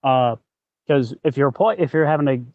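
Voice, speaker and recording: A man hosting a podcast in casual, explanatory talk, often halting as he thinks aloud. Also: mid-range voice, no room sound, narrow, tinny audio. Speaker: podcast host; working actor.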